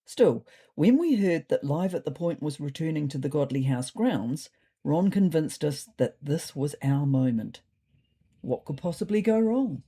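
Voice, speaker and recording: A woman's voice: sits low, expressive, unhurried, warm tone, breathy edge, full character voice. A woman voicing a thoughtful, slightly neurotic male ginger cat telling his diary in a dry, fretful way. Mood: dry, wry. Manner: dry, fretful, wordy understatement.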